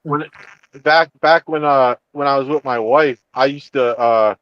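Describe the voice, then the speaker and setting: A man's voice: mid-range voice, no echo, highs cut off. Panelist: jokey man, mid voice, stop-start delivery, dry sound. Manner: halting, repeats words, jokey. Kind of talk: casual panel chat.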